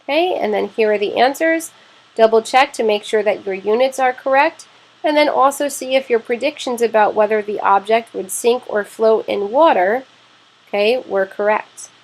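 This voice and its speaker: A woman's voice: on the high side, moderate pace, poor audio. A woman science teacher narrating a lesson step by step, explaining plainly and often checking in with "okay".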